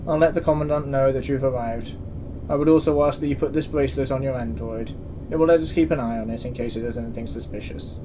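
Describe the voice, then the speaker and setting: A man's voice: medium pitch, fast, background noise, lightly acted. A man playing a stern, suspicious space station security officer in a sci-fi audio drama, formal and curt. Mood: officious, suspicious.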